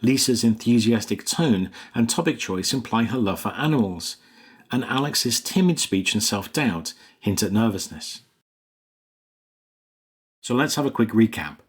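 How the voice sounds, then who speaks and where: Low-pitched man giving a scripted lesson. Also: expressive, a little slow, slightly rough. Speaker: writing coach and host. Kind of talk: scripted instructional narration.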